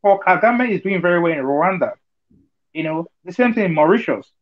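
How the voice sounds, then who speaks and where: A man makes his case over a video call in a fairly high voice, earnest and argumentative, often stopping to ask if his listeners follow. The sound is dull and lo-fi, like compressed online call audio. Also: animated, a little fast. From Nigeria. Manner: earnest, argumentative, many fillers and rhetorical checks. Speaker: discussion participant. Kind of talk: online group discussion.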